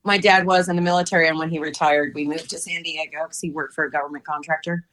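A woman's voice: low-pitched, fairly even intonation, fast talker, fairly bright tone, rough recording. A woman in her fifties answering interview questions, thoughtful and hesitant with many fillers. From United States. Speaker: interview guest.

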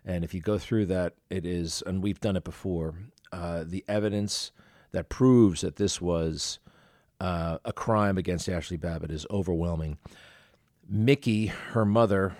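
A man hosting an interview, asking rapid, halting questions full of fillers. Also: low-pitched, fairly even intonation, a little mellow, no echo, clean studio sound. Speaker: interview host. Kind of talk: podcast interview.

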